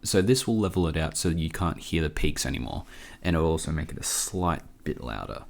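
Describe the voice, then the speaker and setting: A man hosting a casual software tutorial, informal and a bit hesitant as he thinks aloud. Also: a bass voice, big pitch swings, a little fast, creaky. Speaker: tutorial host.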